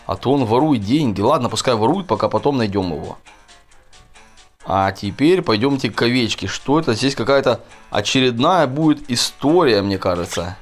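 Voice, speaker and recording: A man hosts a kids' gaming video in a casual, chatty way, talking straight to the viewers. His pitch moves around in a lively way, and game music plays behind him. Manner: chatty, addresses viewers often. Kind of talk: casual gameplay commentary for children.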